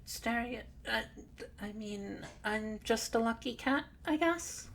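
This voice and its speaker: Woman as a timid, soft-spoken cat girl, faint noise. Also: fairly high pitch, lively intonation, takes it slowly. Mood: shy, hesitant. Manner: soft-spoken, hesitant, gently dry. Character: timid, sweet, calm young cat girl from a shelter. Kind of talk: roleplay audio drama acting.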